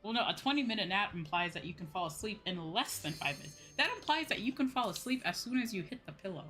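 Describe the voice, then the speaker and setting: A woman's voice: mid-range voice, fast. A young woman chatting casually and playfully with viewers on a livestream as a game streamer. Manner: animated, playful, conversational. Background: music.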